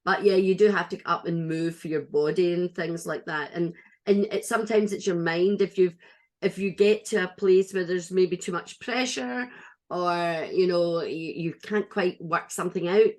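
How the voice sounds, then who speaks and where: Chatty Scottish woman host, lowish voice. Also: moderate pace, a little mellow. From Scotland. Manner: chatty, restarts often, earnest. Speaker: podcast host. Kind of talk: casual interview chat.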